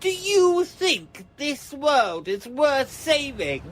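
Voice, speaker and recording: A woman's voice: sits high, sing-song, very slow, noisy background, heavily acted. A woman playing a ranting, confrontational street doomsayer in an audio drama, declaiming in rambling speeches. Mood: agitated, accusing. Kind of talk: theatrical audio drama monologue.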